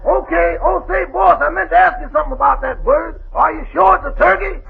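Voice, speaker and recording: An actor playing a cheeky, unflappable male servant with a gravelly voice in a 1940s-style radio comedy, delivering deadpan punchlines. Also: very high-pitched, brisk, full character voice. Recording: scratchy, noisy old radio broadcast, narrow band.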